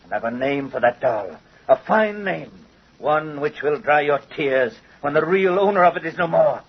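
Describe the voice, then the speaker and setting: An actor playing a vengeful, gloating doll-maker in old-time radio horror, with a theatrical, menacing and mocking manner. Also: male voice, a little high, animated, normal speed. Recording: husky, muffled old radio recording.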